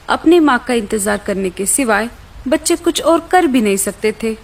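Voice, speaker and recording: A woman narrating a scripted children's story. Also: fairly high pitch.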